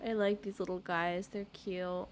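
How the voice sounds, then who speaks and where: A woman's voice: fairly low pitch, little pitch movement, unhurried, background noise. A woman streaming a game, giving casual, light and chatty commentary in short reactions. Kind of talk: casual live commentary over gameplay. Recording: game music behind the voice.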